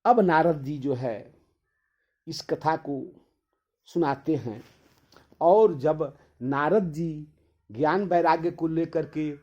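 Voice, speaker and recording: Slow-paced male devotional storyteller, dull recording. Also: a little high, darkish tone. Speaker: religious storyteller. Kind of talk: devotional discourse retelling a scriptural tale. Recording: dull, lo-fi sound.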